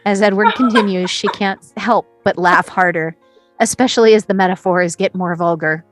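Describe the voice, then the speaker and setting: A woman's voice: mid-range voice, steady tone, moderate pace, no echo, soft background music. A woman reading stage directions as the narrator of a screenplay.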